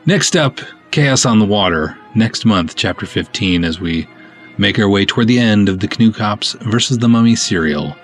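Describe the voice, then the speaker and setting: A man's voice: lowish voice, animated, normal speed, soft background music. A man hosting a podcast, talking casually to his listeners as in a sign-off. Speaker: podcast host.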